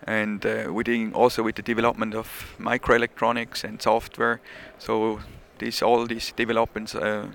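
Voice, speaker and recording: Gravelly Swiss-accented man. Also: on the low side, completely flat delivery, normal speed, hardly any pauses, a bit bright, slight background noise. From Switzerland. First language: not English. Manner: hesitant, many uh fillers and restarts. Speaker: trade show exhibitor. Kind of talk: casual interview.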